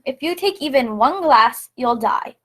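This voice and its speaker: A woman's voice: sits high, animated, unhurried, a bit bright, soft background music, exaggerated voice. A woman playing a blunt, stern male doctor in a radio play. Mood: stern, scolding.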